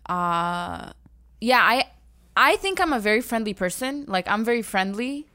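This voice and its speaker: A woman's voice: on the high side, lively intonation, unhurried, pushed and bright, dry recording, clean studio sound. Teasing, laughing young woman co-host. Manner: teasing, laughs often, talkative. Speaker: podcast co-host. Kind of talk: casual chat.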